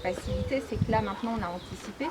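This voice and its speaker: A woman's voice: mid-range voice, racing, heavy background noise. A woman from a school staff explaining things spontaneously in a group interview, in an informal, practical way. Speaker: primary school staff member.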